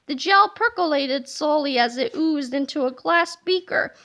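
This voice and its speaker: Very high, bright woman reading aloud. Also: moderate pace. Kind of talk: expressive children's book narration.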